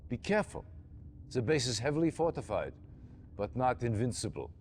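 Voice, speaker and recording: A man's voice: medium pitch, sing-song, normal speed, a little mellow, a little hiss or hum, lightly acted. A man playing a stern allied general who gives clipped, commanding mission briefings in a game cutscene. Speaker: military commander giving briefings.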